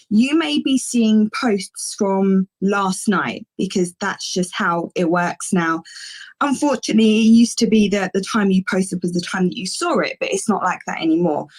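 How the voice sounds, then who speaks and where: A woman with a slightly croaky voice on a video call, upbeat and chatty as she explains tips step by step. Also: mid-range voice, darkish tone, dry recording. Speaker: guest speaker. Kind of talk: giving social media tips on a call.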